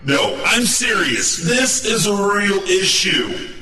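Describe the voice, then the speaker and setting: Touchy giant spider underling: high, sharp, pedantic, heard from off in a very echoey space. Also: male voice, sing-song, slow pace, soft background music, full character voice. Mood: righteous outrage. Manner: shrill, pedantic, aggrieved. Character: indignant, easily offended male giant spider underling. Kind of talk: comic radio play as a voice chat. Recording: echoey, distant voice chat.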